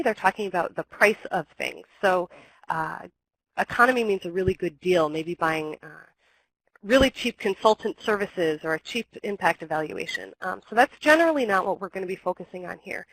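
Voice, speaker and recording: A young woman with a cold gives a webinar presentation, her voice a touch scratchy. She explains step by step, often pausing on ums and correcting herself. Her pitch sits in the middle range, and her delivery is even. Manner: explanatory, with frequent ums and restarts. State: has a cold, slightly scratchy.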